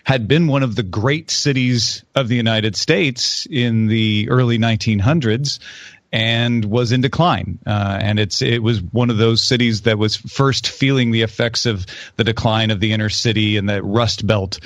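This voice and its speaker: A man's voice: fairly low pitch, steady tone, moderate pace, no echo. A man explaining thoughtfully on a casual podcast discussion. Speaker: podcast co-host.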